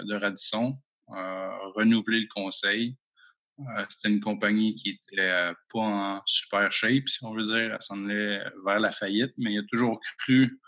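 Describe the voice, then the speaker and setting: A man's voice: fairly low pitch, a bit bright. A man with a Québécois accent answering interview questions about his company, hesitant and full of fillers, recorded from home. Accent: Québécois French. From Abitibi-Témiscamingue, Quebec. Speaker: mining company executive guest.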